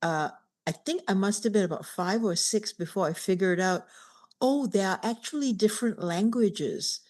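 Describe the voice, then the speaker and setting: A woman's voice: mid-range voice, normal speed, darkish tone. A woman poet from Malaysia telling a story of her childhood in a reflective way, speaking on a video call. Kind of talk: casual chat.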